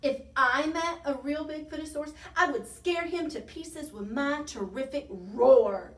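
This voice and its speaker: A tiny young storybook dinosaur, played by a woman, bold and boastful. The voice is pitched high and excitable, full of exclamations. It sounds roomy, a step back from the mic. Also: unhurried. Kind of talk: children's storybook character voice. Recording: roomy echo, distant from the mic.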